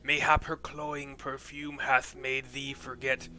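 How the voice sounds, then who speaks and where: Man as ancient feuding dragon, video game, unhurried, declaiming, archaic speech, loud music underneath. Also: medium pitch, little pitch movement, lightly acted. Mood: scornful. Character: ancient dragons in solemn, archaic, bitter dispute.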